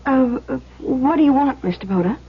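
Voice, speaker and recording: An actress playing a gentle, anxious young schoolteacher in a 1970s radio drama, halting and emotional. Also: female voice, sits high, lively intonation, husky. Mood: polite, hesitant. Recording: muffled old radio broadcast.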